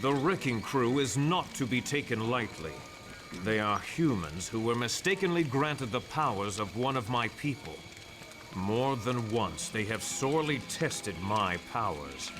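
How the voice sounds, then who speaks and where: A grave warrior god from a video game, acted by a man, speaks with formal heroic dignity. The mid-pitched voice moves expressively, with clear music underneath. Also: lively intonation, a little slow, lightly acted. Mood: grave warning. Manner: solemn, formal, archaic heroic speech. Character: noble, grave male thunder god warrior.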